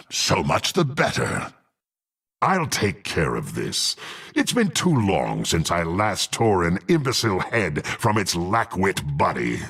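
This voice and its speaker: A brutal half-orc warrior from a fantasy game, contemptuous and hungry for power. The gravelly voice moves slowly but swings widely, dripping with haughty menace. The phrasing is archaic and formal. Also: male voice, fairly low pitch, a bit bright, heavily acted. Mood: grim approval. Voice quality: gruff, gravelly. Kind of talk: dramatic fantasy game voice acting.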